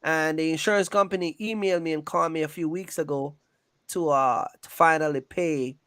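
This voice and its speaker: A man's voice: a little high, fairly even intonation, fairly quick, a bit bright, smooth, no echo, studio quality. A man chatting casually and emphatically, often checking that the listener follows. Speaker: trucking business owner and video creator. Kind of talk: casual chat.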